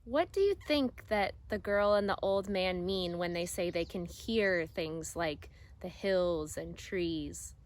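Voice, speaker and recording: A woman teacher reading a picture book aloud to children in a deliberate, expressive way. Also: on the low side. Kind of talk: storybook read-aloud narration for children. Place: outdoors.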